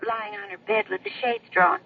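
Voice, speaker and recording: An actress playing a gentle, reassuring woman who looks after a grieving widow in a radio drama. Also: fairly high pitch, big pitch swings, brisk, vocal fry, phone-quality sound. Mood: concerned, resigned. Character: sympathetic female caregiver to a grieving widow.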